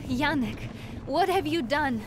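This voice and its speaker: An actress playing an earnest, principled young resistance heroine in a video game, speaking with intensity. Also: female voice, high voice, lively intonation, slow pace, loud music behind the voice. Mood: upset, reproachful. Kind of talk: video game cutscene acting.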